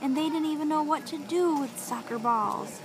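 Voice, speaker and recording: Animated, high-voiced woman telling a children's story. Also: moderate pace. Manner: animated, encouraging, echoes and questions the child. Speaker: storyteller prompting a young child.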